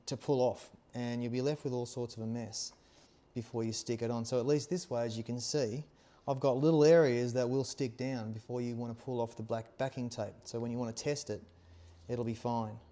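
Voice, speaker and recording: A middle-pitched man in his forties teaches a practical task. His voice is soft-edged and dark rather than sharp. He speaks informally and reassuringly, breaking his instructions up with little check-in questions. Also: fairly even intonation, brisk. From Australia. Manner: informal, reassuring, often checks with "okay?" and "all right?". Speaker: instructor, helmet accessory maker. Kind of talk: casual hands-on tutorial. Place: small workshop studio indoors, seated at workbench.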